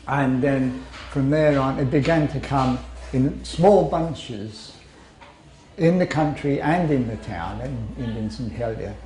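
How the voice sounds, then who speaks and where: A man's voice: medium pitch, slow, dark tone, reverberant, a little hiss or hum, low-quality recording. An older man from Jersey reminiscing in a rambling, reflective way. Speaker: interviewee.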